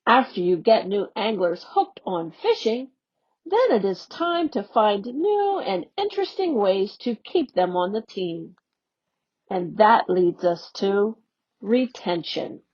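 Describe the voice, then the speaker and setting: Lively slow-reading woman, lo-fi sound. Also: medium pitch. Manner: slow, expressive storybook reading. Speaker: staff educator reading a newsletter aloud. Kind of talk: read-aloud for young learners. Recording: dull lo-fi audio.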